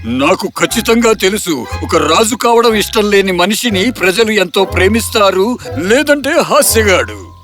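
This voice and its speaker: A man voicing an exasperated aging king and father in an animated fairy tale, chiding and hesitant in his delivery. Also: sits high, normal speed, faint music behind. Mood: patronizing.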